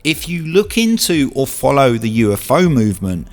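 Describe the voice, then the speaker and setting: A man's voice: mid-range voice, big pitch swings, normal speed. A man giving an earnest, portentous scripted narration in the style of a documentary voice-over. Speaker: video narrator and presenter. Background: music.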